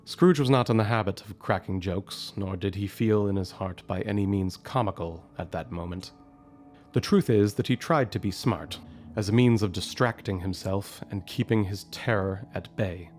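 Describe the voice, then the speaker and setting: An actor as a measured, grave narrator of an audio drama, telling the story with suspense. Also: male voice, on the low side, a little mellow, natural voice. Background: music.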